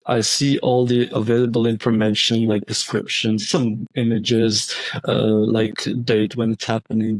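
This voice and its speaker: A man's voice: fairly low pitch, a little mellow, no room sound, poor audio. A young man from Croatia, a bootcamp graduate, walking through a website demo with frequent restarts and fillers. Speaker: bootcamp graduate presenting project. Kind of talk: software demo walkthrough.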